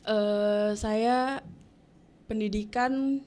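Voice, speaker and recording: A young woman from Papua, a university student and podcast guest, answering casually with many pauses and fillers. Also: mid-range voice, steady tone, a little mellow. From Papua, Indonesia. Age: young adult.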